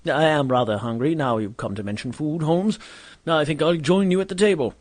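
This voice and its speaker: A man's voice: a little high, fairly quick, close to an everyday voice. A man playing a grumpy, vain Victorian doctor who narrates his misadventures in a pompous, wordy way for an audio drama. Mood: flustered. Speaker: narrator and lead character. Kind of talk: scripted first-person audio drama narration and dialogue.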